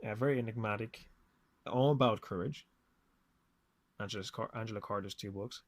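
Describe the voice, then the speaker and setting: A man's voice: fairly low pitch, normal speed, no echo. A man hosting a podcast, talking casually as he gives recommendations and introductions. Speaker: podcast host.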